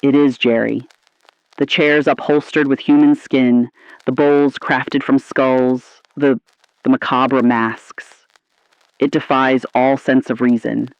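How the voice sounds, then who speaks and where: A man playing an ominous, appalled 1950s radio anchor, delivering scripted lines in a grave, deliberate, theatrical style. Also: mid-range voice, level tone, smooth, dry recording, highs cut off. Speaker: radio host.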